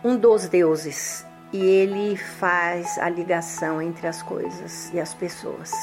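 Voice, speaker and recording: A woman in her seventies speaking slowly and deliberately as a guest in a group radio talk. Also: lowish voice, steady tone, over music. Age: 70s. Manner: slow, deliberate.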